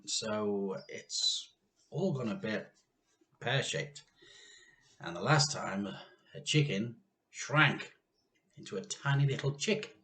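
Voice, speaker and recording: A British man, a teacher reading a children's story aloud in a dramatic, deliberate way. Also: medium pitch. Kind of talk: animated children's storybook narration.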